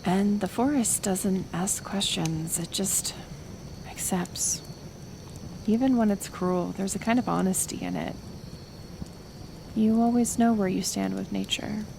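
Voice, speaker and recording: Woman acting a slow-spoken, gentle forest healer. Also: medium pitch, vocal fry, noisy background. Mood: reflective. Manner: gentle, hesitant, caring but persistent. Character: kind, stubborn forest healer woman tending a wounded werewolf. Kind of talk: soft-spoken ASMR roleplay acting.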